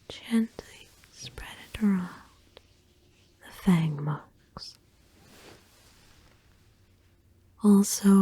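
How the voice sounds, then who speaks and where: A woman performs a kind female vampire owner in an ASMR roleplay. Her voice is very mellow and lowish, mostly whispered in a dry, close space. She is gentle, formal and reassuring. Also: husky, no echo, exaggerated voice. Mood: soothing.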